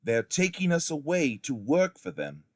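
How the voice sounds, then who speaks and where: A man's voice: fairly high pitch, wildly swooping pitch, moderate pace, no room sound, clean studio sound, pitched above the performer's own voice. A man voicing a captive African man in a storybook who plainly explains their grim fate to a boy. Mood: grave, explaining.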